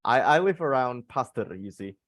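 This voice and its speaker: A man's voice: mid-range voice, expressive, moderate pace, a bit bright, dry recording, studio quality. A man with an Indonesian accent teaching a casual live English class, repeating words and phrases as he explains encouragingly. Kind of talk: casual conversational lesson, switching between two languages.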